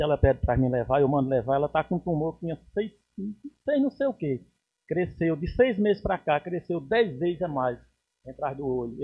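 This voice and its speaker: A man's voice: a little high, a little mellow, slightly rough, rough recording. A man in his forties with a Pernambuco accent, giving an indignant speech at a council podium mic, rising to emphatic bursts. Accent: Pernambuco Portuguese. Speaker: city councilman. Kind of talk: plenary speech. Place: council chamber, indoors. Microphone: podium gooseneck mic.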